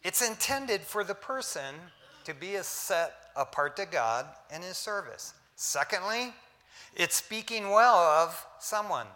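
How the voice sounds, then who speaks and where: Pastor guy preaching, loud then hushed, says man and amen a lot, echoey hall. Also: sits high. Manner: preachy with dramatic swings between loud and hushed; casual asides like "man" and "amen". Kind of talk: sermon. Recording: long hall reverb.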